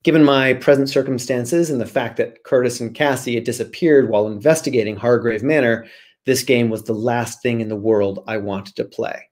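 A man's voice: mid-range voice, steady tone, moderate pace, darkish tone. A man in his forties speaking as a guest author on a video call, chatting casually and reading from a storybook. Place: small room at home, indoors. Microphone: video call, computer at arm's length.

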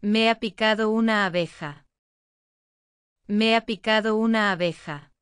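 A woman's voice: medium pitch, unhurried, fairly bright tone, clean tone, no echo. A woman reading short phrases aloud twice each for a language lesson, with careful enunciation into a close mic. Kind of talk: slow repeated phrase reading.

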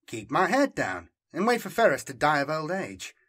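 A man's voice: fairly high pitch, huge pitch swings, normal speed, fairly bright tone, breathy edge, pitched above the performer's own voice, exaggerated voice. A man voicing a smug, self-assured male fugitive in an audiobook, superior and teasing in manner. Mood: confident, reassuring. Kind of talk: audiobook character dialogue.

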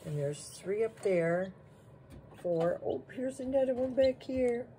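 A woman's voice: fairly high pitch, lively intonation, slow, warm tone. A plain-spoken, folksy woman giving casual home-video narration on a noisy phone recording. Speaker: farm vlogger.

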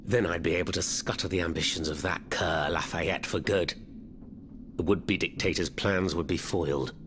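An actor playing a fervent, florid French revolutionary in a video game, speaking with a French accent. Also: male voice, deep voice, fairly even intonation, normal speed, background noise, lightly acted. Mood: conspiratorial. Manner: theatrical, florid, eloquent. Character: theatrical, fervent male French revolutionary hiding underground. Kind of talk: video game cutscene acting.

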